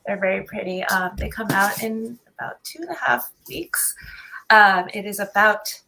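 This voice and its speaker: A woman's voice: mid-range voice, steady tone, moderate pace, gravelly, noisy background. A woman author on a panel giving a casual, unprepared introduction in a hesitant, self-deprecating way. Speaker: debut author, panel guest.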